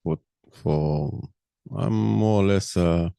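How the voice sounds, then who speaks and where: A middle-aged Israeli man with a low, gravelly voice speaks English as a second language, slow and halting, full of ums and uhs. His tone is dark and mellow, and the sound is dry and close. He talks through his views in a loose personal monologue. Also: lively intonation, no echo. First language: Hebrew. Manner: slow, halting, many fillers. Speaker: podcast host.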